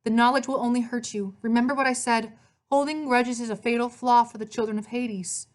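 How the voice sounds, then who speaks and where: A woman's voice: fairly high pitch, steady tone, a little fast, voice pushed up, close to an everyday voice. A woman storyteller voicing the solemn, protective ghost of a teenage sister, earnest and warning.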